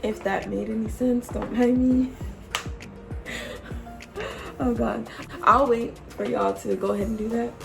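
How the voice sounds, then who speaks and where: A woman's voice: a little high, steady tone, unhurried, gravelly, pauses often, music in the background. A woman in her late twenties chatting casually to camera as a vlogger, playful and teasing, laughing often and calling her viewers y'all. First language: English. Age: 20s. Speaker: YouTube vlogger.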